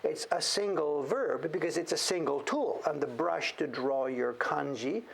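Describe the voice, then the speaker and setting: A man's voice: on the high side, a little slow, creaky, breathy edge. A middle-aged man hosting a show-and-tell, chatting casually in a rambling, self-deprecating way. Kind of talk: casual livestream chat.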